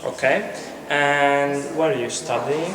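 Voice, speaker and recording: Young male interviewer, rambling, distant, loud crowd behind. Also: fairly high pitch, slow. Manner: rambling, rephrases his questions. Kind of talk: interview questions. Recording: distant mic in echoey hall with crowd.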